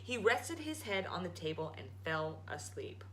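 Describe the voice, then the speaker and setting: A woman storyteller reading a children's story aloud in a dramatic, emphatic, expressive way. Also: on the low side, normal speed, a little hiss or hum. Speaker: storyteller, show host.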